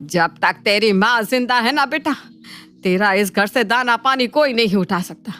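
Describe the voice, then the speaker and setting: A woman's voice: on the high side, a little slow, fairly bright tone. A woman voicing a scheming, middle-aged cartoon mother-in-law who scolds, coaxes and dotes on her daughter. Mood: doting. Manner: scolding, coaxing, commanding. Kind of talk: Hindi cartoon family drama acting. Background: faint background music.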